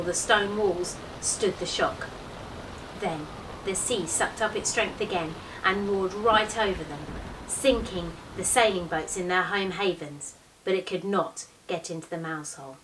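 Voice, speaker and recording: A woman in her thirties, a teacher, reading a picture book aloud expressively with measured, deliberate storytelling phrasing. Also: mid-range voice, fairly bright tone, a little hiss or hum. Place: small living room, indoors, on a sofa. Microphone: camera a few metres away. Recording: distant microphone in a living room.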